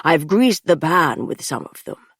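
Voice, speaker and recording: A calm, kindly bear-folk cook, voiced by a woman narrating a fantasy story. The voice is low and unhurried, steady and reassuring. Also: breathy edge, no room sound, studio quality, exaggerated voice.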